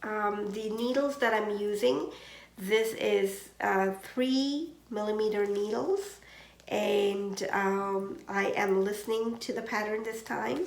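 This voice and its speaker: A woman hosts a knitting podcast from home and chats at an unhurried pace, with frequent ums and uhs. Her voice has a gravelly edge and sounds a little stuffed up from allergies. The recording carries a roomy echo. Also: mid-range voice. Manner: slow, gentle, with many ums and uhs. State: congested from seasonal allergies. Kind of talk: casual chat.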